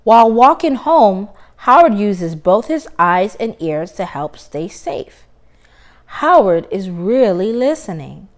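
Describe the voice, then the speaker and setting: A woman reading a children's story aloud with expressive, deliberate, emphatic phrasing. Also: mid-range voice.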